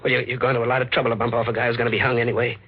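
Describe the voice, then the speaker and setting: An actor playing a tough but frightened escaped convict in a 1940s radio mystery, slangy and prone to stammering. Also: male voice, medium pitch, level tone, racing, husky, natural voice. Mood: nervous. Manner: hard-boiled slangy talk, stammering when rattled. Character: tough, frightened male escaped convict narrating his nightmare. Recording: dull old radio recording, loud music behind.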